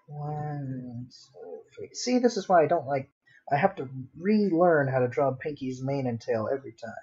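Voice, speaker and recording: A man's voice: a little high, animated, measured pace, darkish tone, slightly rough. A man casually chatting on a livestream while he draws, muttering to himself in a self-deprecating way. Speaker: livestreaming artist and host. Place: at home with a dog nearby. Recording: dull lo-fi home stream audio.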